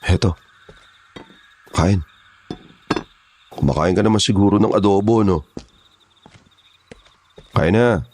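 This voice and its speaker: An actor playing a rough kidnapper's henchman in a horror audio drama, colloquial and easily rattled. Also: fairly low pitch, slow pace, long pauses, a little mellow, a little hiss or hum. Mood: taunting. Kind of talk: dramatised horror story acting.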